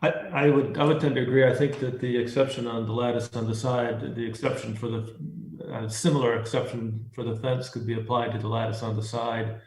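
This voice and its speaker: A man's voice: medium pitch, fairly even intonation, fairly quick, few pauses, darkish tone, a little hiss or hum. A man chairing a formal public meeting, moderating discussion and calling for motions. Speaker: commission chairman. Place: remote meeting call. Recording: echoey remote meeting audio.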